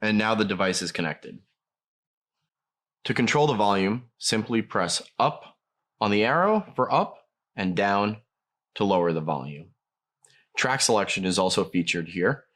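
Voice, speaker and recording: A man's voice: on the low side, animated, measured pace, long pauses, a bit bright. A man narrating a scripted product tutorial, explaining each step plainly as a demonstrator.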